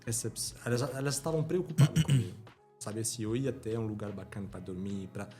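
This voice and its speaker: A man's voice: lowish voice, fairly quick, mellow, faint music behind. A middle-aged man from France, a podcast guest talking in a casual chat, earnest and emphatic, often repeating words as he speaks. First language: French.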